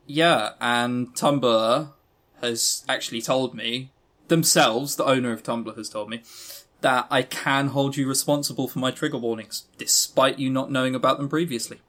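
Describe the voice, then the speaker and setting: A man's voice: medium pitch, fairly even intonation, normal speed, a bit bright. A man co-hosting a podcast in casual chat, joking and teasing with a mock-serious manner. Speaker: podcast co-host.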